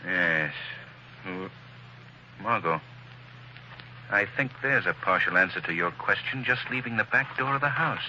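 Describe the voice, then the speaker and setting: A composed, wry gentleman detective with a lowish voice and a sharp, bright edge. Faint hiss from the old radio recording sits behind him. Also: lively intonation, unhurried, long pauses, lo-fi sound, heavily acted. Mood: calm. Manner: cool, dry humor. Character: calm, witty gentleman detective. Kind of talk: 1940s radio acting.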